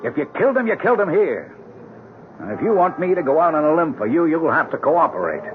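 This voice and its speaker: A man's voice: on the high side, very animated, quick pace. An actor playing a hard-nosed American police lieutenant in a radio drama, curt, clipped and pressing with his questions. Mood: stern, pressing. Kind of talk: 1970s radio drama acting. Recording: tinny, hissy 1970s radio broadcast.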